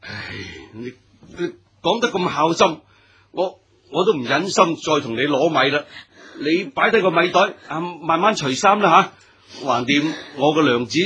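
Sharp, very husky sly Cantonese villain. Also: male voice, fairly high pitch, lively intonation, measured pace, exaggerated voice. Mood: sly, coaxing. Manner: stammering, feigned reluctance, oily. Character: sly, grasping petty official bullying a woman. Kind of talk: Cantonese radio drama acting.

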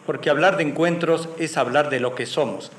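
A man with a slightly high voice reflects in an academic way, as an anthropologist would. He is recorded in a roomy, echoing space with faint noise behind him. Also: moderate pace. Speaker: anthropologist and historian, priest.

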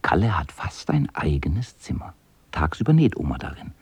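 A man narrating a children's radio play as a calm storyteller with a husky voice. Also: a bass voice, moderate pace, dry recording, exaggerated voice. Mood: storytelling.

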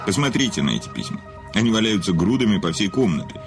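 Deep-voiced, cynical, grandiloquent famous writer. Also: male voice, very animated, quick pace, music in the background. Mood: haughty, impassioned. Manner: grandiloquent, theatrical, sardonic. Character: cynical, vain famous male writer, menacing confessed killer. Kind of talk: radio play acting.

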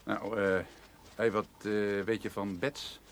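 An actor playing a grumpy, bossy mailroom chief in a radio drama, gruff, scolding and sarcastic. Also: male voice, fairly low pitch, level tone, unhurried.

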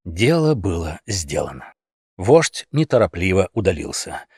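A man narrating a satirical fairy tale as an expressive audiobook storyteller. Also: sits low, unhurried, husky, no room sound, studio quality.

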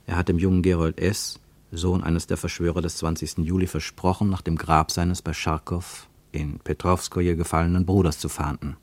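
Guy narrating an old radio play, lowish voice, slow and poetic, dry studio sound. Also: no echo. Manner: measured, lyrical, dramatic swings in pitch and loudness.

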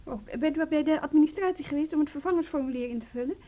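An actress in a Dutch radio drama playing an earnest, conciliatory deputy headmistress, polite and hesitant in manner. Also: female voice, high-pitched, racing, dark tone, highs cut off, close to an everyday voice. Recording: noisy old radio drama recording.

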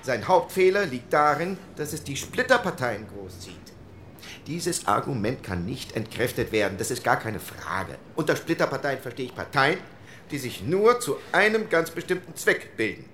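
An emphatic parliamentary debater, faint noise. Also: male voice, medium pitch, sing-song, normal speed, sharp tone. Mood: argumentative. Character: argumentative male parliamentary delegate of the late 1940s. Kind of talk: acted debate from historical minutes.

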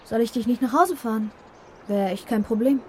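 A woman's voice: on the high side, normal speed, close to an everyday voice. An actress playing a friendly, inquisitive teenage girl in an audio drama. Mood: curious, eager. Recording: clear ambient noise behind.

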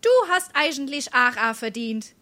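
Junge Hessin, hell und hoch. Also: female voice, a little high, lively intonation, normal speed, sharp tone. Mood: coaxing. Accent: Darmstadt Hessian. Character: pert, tender young daughter in love. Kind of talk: stage dialect comedy acting.

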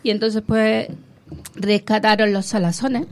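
A woman's voice: medium pitch, steady tone, measured pace. A woman on a casual talk show, telling food history enthusiastically and rambling a bit as she goes.

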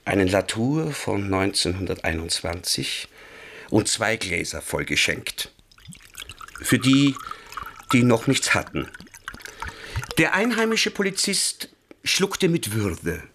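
An actor playing a wry crime-tale narrator in a radio drama, deliberate and relishing each short phrase. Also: male voice, on the low side, big pitch swings, fairly bright tone, a little hiss or hum, exaggerated voice. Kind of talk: scripted first-person radio drama narration.

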